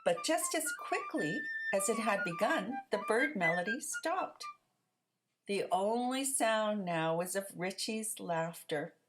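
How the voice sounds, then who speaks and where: A woman reading a children's picture book aloud as a storyteller, in an expressive, deliberate way. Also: medium pitch, over music, rough recording.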